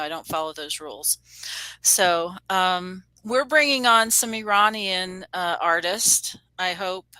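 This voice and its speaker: Bright-voiced chatty woman host. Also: medium pitch, clear, resonant tone, no room sound, rough recording. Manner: rambling, many fillers and restarts. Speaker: show host. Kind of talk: casual video-call chat. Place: home room on a video call.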